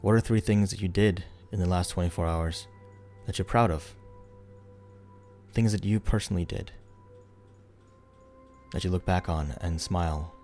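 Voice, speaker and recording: A man with a deep, relaxed voice narrates a guided meditation. He gives soothing, unhurried instructions and leaves space between phrases. Soft music plays behind him. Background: background music.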